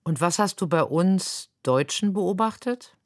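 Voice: mid-range voice, expressive, unhurried, dry recording, clean studio sound. An interviewer asking direct, friendly questions.

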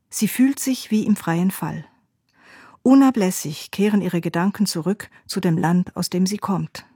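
A woman presenting a radio culture feature, reading a scripted narration in a calm, measured way. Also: medium pitch, breathy edge, no echo, clean studio sound.

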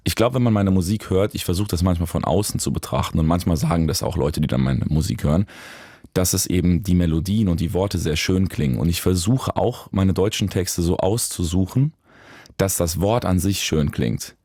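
A man, a musician, talking reflectively in an interview, searching for words with pauses and restarts. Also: sits low, mellow, dry recording, studio quality. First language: German. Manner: thoughtful, searching, with fillers and restarts. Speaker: musician guest.

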